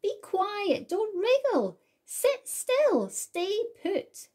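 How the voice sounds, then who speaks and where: Woman voicing bossy high-pitched little snails. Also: sing-song, drawn-out words, mellow, full character voice. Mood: bossy scolding. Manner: clipped, commanding. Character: flock of bossy, scolding sea snails.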